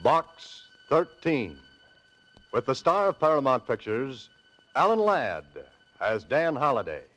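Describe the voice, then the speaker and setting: A man's voice: mid-range voice, big pitch swings, takes it slowly. A man announcing in 1940s radio style over music. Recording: muffled old radio recording with music. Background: clear music.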